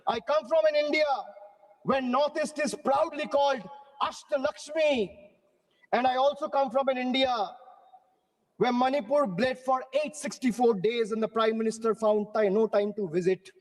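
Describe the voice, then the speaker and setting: A man's voice: very high voice, fairly even intonation, moderate pace, in a large hall, poor audio. A man from Bengal giving a rhetorical speech in parliament, declaiming in parallel phrases. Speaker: member of parliament. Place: large parliament chamber.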